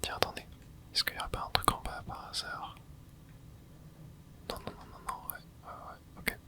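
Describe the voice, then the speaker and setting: Young man whispering gentle gameplay commentary, hushed and soft throughout, with excited little asides. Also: normal speed. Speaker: gaming ASMR creator.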